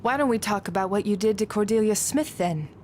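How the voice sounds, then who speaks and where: A woman's voice: mid-range voice, brisk, slight background noise, close to an everyday voice. A woman playing a driven, sarcastic female federal agent in a video game drama. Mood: accusing. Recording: loud music behind the voice. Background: music.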